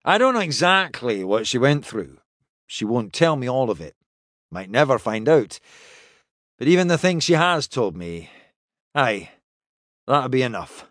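A man's voice: medium pitch, very animated, a little slow, long pauses, a bit bright, dry recording, studio quality. A man voicing a blustering, wry, roguish male spaceship captain in an audiobook, exclaiming theatrically in colloquial speech. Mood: wry, frank. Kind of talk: audiobook character acting.

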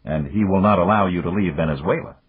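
A man voicing a suave, casual businessman in an audiobook, breezy and gallant with a gently teasing way of talking. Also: sits low, fast talker, slightly rough. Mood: probing. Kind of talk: audiobook character voice. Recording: echoey room, muffled narrow-band sound.

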